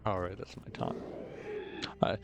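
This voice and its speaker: A young man with a slightly hoarse, rough throat, chatting casually and rambling through gameplay commentary with many fillers and self-corrections. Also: a little slow, heavy background noise. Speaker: gaming video host. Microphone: desk mic with noise gate.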